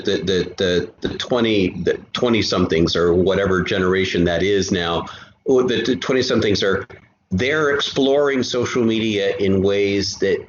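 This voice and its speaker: A middle-aged man chatting discursively as a guest, haltingly repeating words as he thinks aloud. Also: low voice. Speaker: guest, writer and software programmer. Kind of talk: casual chat, discursive storytelling. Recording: echoey room, muffled, some hiss.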